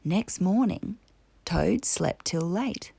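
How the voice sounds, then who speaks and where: A woman narrating a children's story as a storyteller, in a measured, expressive way. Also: on the low side, vocal fry, husky.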